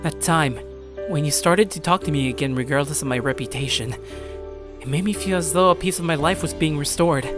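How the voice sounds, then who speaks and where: A man playing a troubled, earnest high school boy who narrates his own story in a sincere, brooding way. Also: close to an everyday voice. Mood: pleading, earnest. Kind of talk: scripted dramatic narration and character dialogue.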